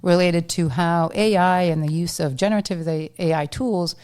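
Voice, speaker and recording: A woman's voice: on the low side, steady tone, moderate pace, a little mellow, clear, resonant tone. A woman co-hosting a podcast, upbeat and explanatory as she leads the talk. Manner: upbeat, explanatory, laughs easily. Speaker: podcast co-host. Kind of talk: casual podcast chat.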